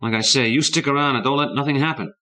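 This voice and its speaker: A man's voice: fast talker, sharp tone, lightly acted. A man doing a fierce, anguished young boy. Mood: earnest. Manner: cries out in raw high anguish.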